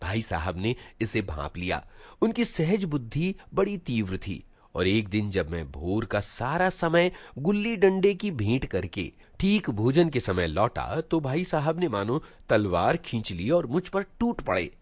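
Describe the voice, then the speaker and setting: A man reading a literary story aloud in an expressive narrating style. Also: medium pitch, unhurried, no room sound. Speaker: story reader.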